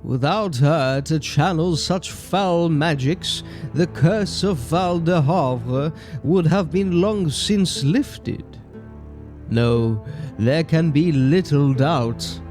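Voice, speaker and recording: A man playing a stern, accusing friar in a medieval horror story, speaking formally and deliberately. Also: a little high, darkish tone, faint music behind. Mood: grave, certain. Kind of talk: dramatic audio horror story.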